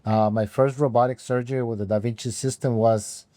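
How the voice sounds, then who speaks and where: A man, an OB/GYN guest telling his career story in halting, unhurried speech full of ums. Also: mid-range voice, fairly even intonation, warm tone, no room sound. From Mexico. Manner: halting, with frequent ums and restarts. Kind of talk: conversational interview answers.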